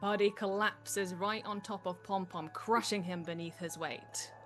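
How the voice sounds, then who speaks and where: A woman's voice: mid-range voice, fairly even intonation, measured pace, fairly bright tone, soft background music. A woman reading stage directions as scripted narration at a live table read.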